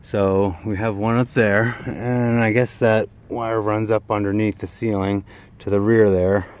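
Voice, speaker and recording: A man's voice: on the low side, little pitch movement, unhurried, a little mellow. A man giving a casual, unscripted walkaround of his vehicle in a folksy, colloquial way with hobbyist slang. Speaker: vlogger showing his vehicle build.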